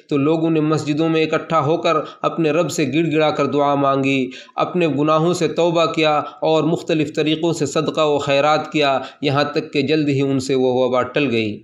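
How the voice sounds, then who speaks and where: A man delivers a Friday sermon translation in a slightly raised, narrow-ranged voice. His reading is formal and steady, with an even cadence that rarely lifts or falls. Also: fairly high pitch. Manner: addresses listeners as servants of God.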